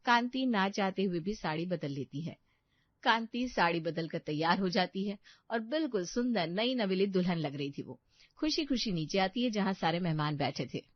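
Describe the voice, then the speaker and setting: A woman's voice: mid-range voice, lively intonation, normal speed, no room sound, muffled recording. A woman narrating a scripted family story as a storyteller.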